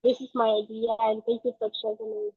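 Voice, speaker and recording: A woman's voice: fairly high pitch, sing-song, brisk, vocal fry, slightly rough, no room sound. A teenage girl from the Dominican Republic, a student in an online class, giving a careful, earnest prepared answer. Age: teens. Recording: tinny phone-like audio.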